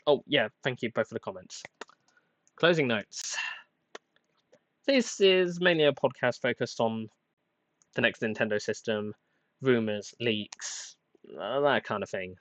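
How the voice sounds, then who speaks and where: A man's voice: medium pitch, unhurried, long pauses, no echo. Rambling young man with a plain voice. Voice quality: plain, somewhat flat voice. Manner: rambling, self-deprecating, hesitant with many uhs and restarts. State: getting over a cold. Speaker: podcast host. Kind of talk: casual solo podcast rambling.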